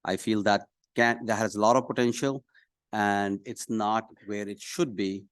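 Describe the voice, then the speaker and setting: Low-voiced middle-aged man, halting speaker. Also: fairly even intonation, smooth, no room sound, studio quality. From Pakistan. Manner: halting, pausing often mid-phrase. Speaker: city council candidate. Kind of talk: self-introduction at a public meeting. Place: council meeting room, speaking at a podium.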